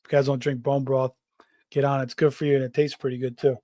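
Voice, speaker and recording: Man, middle-aged, slightly hoarse, rambling casual sports talk, fillers and restarts, dry close recording. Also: medium pitch, little pitch movement, fast talker, mellow, no echo. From Florida. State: slightly hoarse, voice going raspy. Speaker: fantasy football show host.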